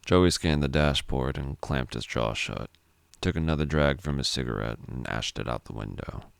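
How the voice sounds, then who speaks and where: A man's voice: rumbling low, normal speed, creaky, smooth, no echo, clean studio sound. A man reading scripted fiction narration as a storyteller.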